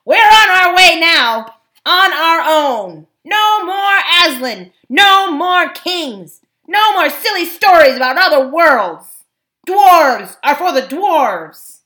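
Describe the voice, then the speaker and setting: A woman voicing a surly, defiant dwarf man in a storybook, with a growling, mocking, rough colloquial delivery. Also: a little high, big pitch swings, slow, pushed and bright, exaggerated voice.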